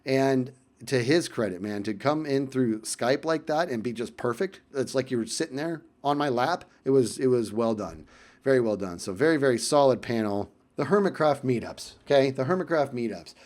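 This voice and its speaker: A man casually telling a personal story in vlog style, animated and emphatic, often repeating phrases for effect. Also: mid-range voice, moderate pace.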